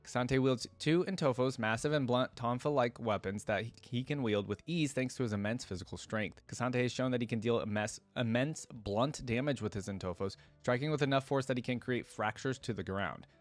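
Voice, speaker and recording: A man's voice: mid-range voice, normal speed, few pauses, soft background music. A man hosting a reaction video, reading lore aloud and adding casual, informal commentary as he goes.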